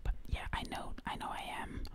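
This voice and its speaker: A young woman whispering breathily and close to the mic in an ASMR roleplay ramble, hushed and repeating words and phrases rhythmically. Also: brisk. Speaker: ASMR performer playing a time-travelling zombie princess. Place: dim home room, indoors. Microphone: close ear-to-ear microphone.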